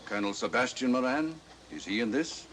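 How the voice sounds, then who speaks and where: An actor playing an officious, skeptical Scottish police inspector in a 1940s mystery drama. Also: male voice, mid-range voice, lively intonation, brisk, fairly bright tone, natural voice. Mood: grave. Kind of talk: 1940s film mystery acting. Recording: hissy old film soundtrack.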